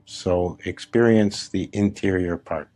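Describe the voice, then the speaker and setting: A man leading a guided meditation as a spiritual teacher, speaking soothingly in short, deliberate phrases with long pauses. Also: deep voice, fairly even intonation, warm tone. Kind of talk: live guided meditation, spoken instruction.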